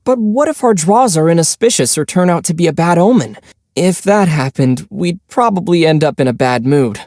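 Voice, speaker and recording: A man voicing a calm, gentle young traveler hero in a video game, speaking thoughtfully with mild teasing. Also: fairly high pitch, no room sound, studio quality. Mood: sensible, questioning. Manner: measured, thoughtful, mildly teasing. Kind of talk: video game character voice lines.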